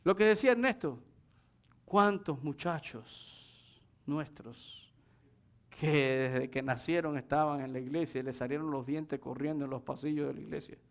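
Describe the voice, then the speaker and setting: A man's voice: on the high side, normal speed, gravelly. A man preaching a sermon in a didactic manner, explaining scripture and putting rhetorical questions to his listeners. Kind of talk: sermon with scripture exposition and anecdotes.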